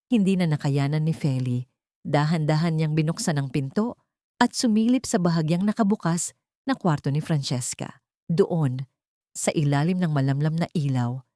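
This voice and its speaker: A woman's voice: deep voice, dark tone, dry recording, studio quality. A woman with a Filipino accent narrating a scripted dramatic story.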